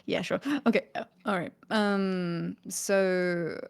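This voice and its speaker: Creaky-voiced woman thinking aloud at a game. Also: fairly low pitch, steady tone, takes it slowly. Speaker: tabletop game player. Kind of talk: casual game chat.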